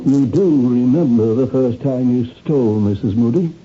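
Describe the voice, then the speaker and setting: An actor playing a patient psychiatrist who probes soothingly with measured, hesitant pauses in a radio drama. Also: male voice, medium pitch, natural voice. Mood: probing. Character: patient, probing male psychiatrist. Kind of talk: 1970s radio drama acting. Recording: muffled, noisy old radio recording.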